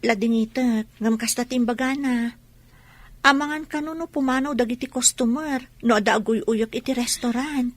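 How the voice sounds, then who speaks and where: A woman's voice: a little high, moderate pace, dry recording, lightly acted. A woman with an Ilocano accent acting a sensible, friendly young village woman in a radio drama. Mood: advising.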